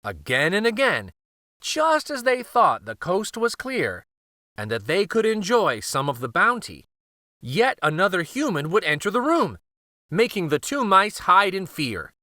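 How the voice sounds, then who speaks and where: Animated, bright-voiced male children's storyteller. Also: high-pitched, unhurried, dry recording, clean studio sound. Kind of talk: scripted storybook read-aloud narration.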